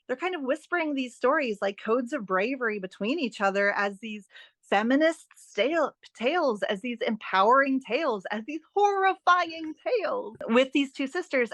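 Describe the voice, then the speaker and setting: A woman's voice: a little high, no room sound. Enthusiastic woman in a dry, close recording. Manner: enthusiastic, expressive storyteller. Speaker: guest author. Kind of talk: podcast interview, conversational.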